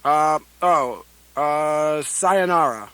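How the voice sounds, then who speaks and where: A shifty, scheming male secret agent acted by a man in a satirical radio play. His voice sits a little high for a man. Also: lively intonation, drawn-out words, fairly bright tone, clear, resonant tone, no room sound, exaggerated voice.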